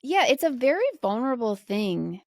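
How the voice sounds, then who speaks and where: An American woman speaking as a podcast guest in casual conversation, candid and animated, often acting out little quotes. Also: on the high side, moderate pace, dry recording, studio quality.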